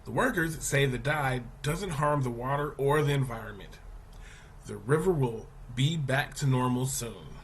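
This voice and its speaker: Guy teacher reading a kids news article out loud, really slow n deliberate, some noise behind him. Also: medium pitch. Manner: deliberate, explanatory, poses questions to young listeners. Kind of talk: scripted children's article read-aloud.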